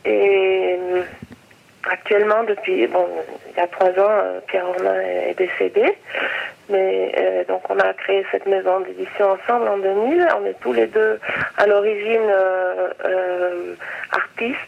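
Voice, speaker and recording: Woman, publisher, gravelly voice, halting, phone-line sound. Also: medium pitch, little pitch movement. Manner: halting, with pauses.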